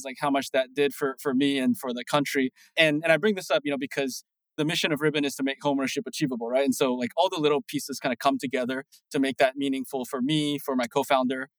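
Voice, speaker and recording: A man in his thirties from Singapore, a startup founder answering interview questions in a quick, animated way with many restarts. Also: medium pitch, no echo, rough recording. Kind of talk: casual interview talk.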